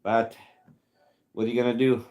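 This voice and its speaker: A man in his fifties hosting a casual livestream chat, rambling and halting with many fillers as he answers viewers. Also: medium pitch, flat delivery, a little mellow. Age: 50s. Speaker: livestream host reading chat.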